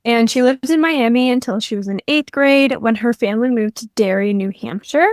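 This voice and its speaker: A woman's voice: a little high, normal speed, dry recording, clean studio sound. A teenage girl hosting a true crime podcast, telling a case from her notes. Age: teens. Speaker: podcast host.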